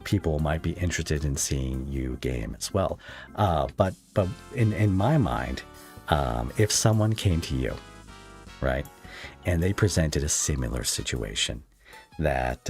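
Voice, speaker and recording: Man with an extremely deep voice, measured. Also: gravelly, darkish tone. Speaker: podcast host, interviewer. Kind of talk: casual conversational interviewing.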